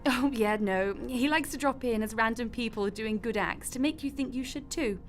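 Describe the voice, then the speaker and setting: A woman's voice: fairly high pitch, normal speed, soft background music, lightly acted. A woman acting in a radio drama as a breezy, glib God who runs the afterlife with chatty, flippant matter-of-factness. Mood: flippant, exasperated.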